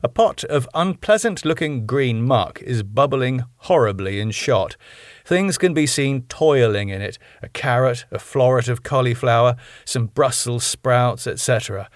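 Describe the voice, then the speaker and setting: A British man reading the stage directions of his own sitcom script in a plain, measured way. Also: medium pitch, animated, dry recording, studio quality.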